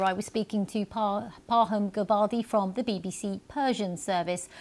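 Brisk woman presenting TV news. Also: medium pitch, a little mellow. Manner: brisk, clear read with occasional stumbles.

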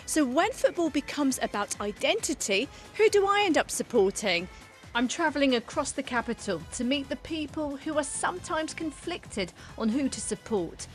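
A woman from London narrates a news feature in a personal, reflective tone. Her voice is fairly high and moves a lot. Clear music plays beneath her voice-over. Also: very animated, moderate pace. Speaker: reporter. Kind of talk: scripted report narration.

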